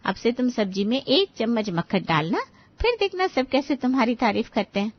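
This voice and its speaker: A woman voicing a kind, gentle older aunt who patiently advises young wives in an animated moral story. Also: on the high side, fairly quick, highs cut off. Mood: gently explaining. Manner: gentle, reassuring, explanatory.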